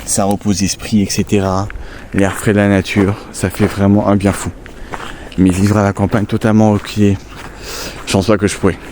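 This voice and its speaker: A man's voice: fairly low pitch, moderate pace, noisy background. A man with a stuffy nose from a cold, chatting casually and rambling as he walks in the countryside, filming on a handheld phone. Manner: chatty, relaxed, rambling asides. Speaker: vlogger on a nature walk. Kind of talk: casual walking vlog to camera. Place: outdoors in the countryside.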